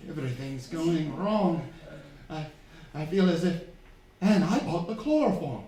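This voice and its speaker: A male actor plays a frightened young parson in a staged radio drama. His voice is high and jumps around widely, anxious and halting. It rings through a very echoey theatre. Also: animated, dark tone. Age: young adult. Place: theatre stage, live performance. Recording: very echoey hall, distant mic, faint noise.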